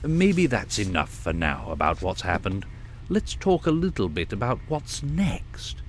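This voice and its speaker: Male actor as avuncular older admiral, highly varied intonation, droll, sci-fi audio drama, slight background noise. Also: big pitch swings, measured pace. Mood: tactful. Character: affable older admiral, gently avuncular commanding officer.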